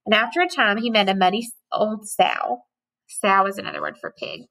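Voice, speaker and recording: A woman in her thirties reading a children's story aloud with expressive, rhythmic storytelling. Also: mid-range voice, moderate pace. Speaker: storybook reader. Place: small indoor room with cupboards.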